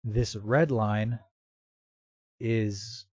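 A man presenting a casual software tutorial in a halting, step-by-step way, as if thinking aloud. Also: on the low side, steady tone, breathy edge, dry recording, dull audio. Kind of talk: casual screen-recorded tutorial narration. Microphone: computer microphone with real-time noise removal.

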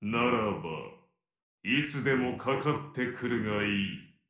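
A man's voice: mid-range voice, unhurried, a bit bright, natural voice. An actor playing an ancient serpent demon king in a video game, speaking in archaic, imperious phrases. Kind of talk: video game story dialogue. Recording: roomy echo.

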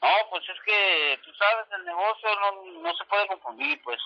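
Over a dull telephone line, a man speaks in a somewhat high voice with a northern Mexican accent. His talk is casual and slangy. He hedges and sidesteps rather than answering straight. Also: huge pitch swings, measured pace, vocal fry. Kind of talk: casual slangy phone conversation. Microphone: telephone call.

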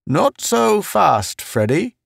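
A man puts on a solemn, judicious spaceman on an inspection visit. The voice sits somewhat high and moves slowly and gravely, weighing each phrase, in a dry audiobook recording. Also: very animated, dry recording, heavily acted. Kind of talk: audiobook character voice.

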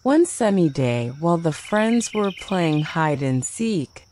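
A woman's voice: lowish voice, animated, slow pace, background noise. A woman reading a scripted children's story as a storyteller.

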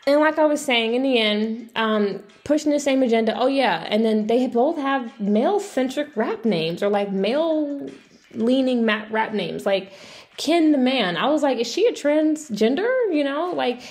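A woman with a fairly high voice talks casually and bluntly, giving her opinions as a video commentator. She sounds exasperated and swears freely as she goes.

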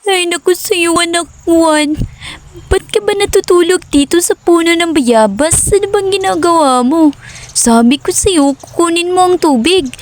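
A woman's voice: extremely high pitch, measured pace. A woman voicing a fretful loving village mother. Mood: scolding. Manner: scolding then tender, fussing. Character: loving worried village mother, middle-aged. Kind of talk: storybook cartoon voice acting.

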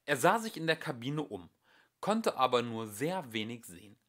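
A young man narrates a comic novel at home, his voice sitting at a middle pitch. He swings it widely and expressively, landing the dry jokes with plenty of melody. It sounds like a homemade audiobook read for family. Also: sing-song, moderate pace, a bit bright. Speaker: audiobook reader. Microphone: home recording.

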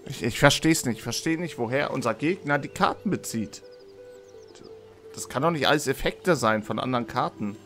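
A man records casual let's play commentary with music playing behind him. His mid-pitched voice sounds a little hoarse and under the weather. He thinks aloud as he goes, often repeating words. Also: lively intonation, normal speed, a bit bright. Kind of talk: casual video game commentary. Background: game music.

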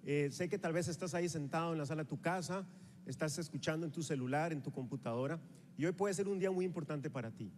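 A man in his forties with a Costa Rican accent, speaking energetically into a handheld microphone as he rallies a live audience. His voice sits high, and it rings out through the long reverb of a big convention hall. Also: quick pace. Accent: Costa Rican Spanish. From Costa Rica. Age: 40s. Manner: energetic, rallying the audience, walks the stage while gesturing. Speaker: motivational speaker at a sales rally. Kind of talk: motivational stage speech.

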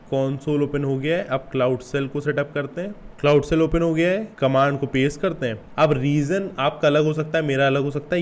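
A man's voice: fairly high pitch, a little hiss or hum. A man in his twenties with an Indian accent hosts a casual step-by-step screen tutorial, informal and instructional, mixing in English terms. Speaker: YouTube tutorial host.

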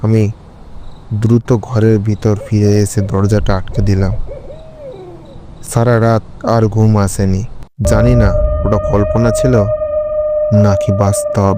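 A man narrating a scripted horror story in the first person, in a measured, solemn storytelling manner. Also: fairly low pitch, very noisy.

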